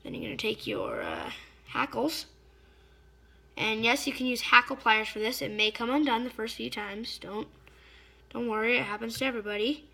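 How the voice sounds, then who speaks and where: A slightly hoarse woman giving a casual hands-on fly-tying tutorial, chatty and often stopping to search for a word. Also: on the high side, lively intonation, normal speed, sharp tone. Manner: chatty, stops to search for words.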